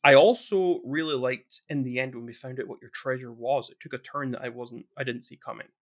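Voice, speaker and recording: A man's voice: medium pitch, fairly quick. A man co-hosting a podcast in casual chat, rambling and often restarting his sentences. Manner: chatty, rambling, frequent restarts. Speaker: podcast co-host.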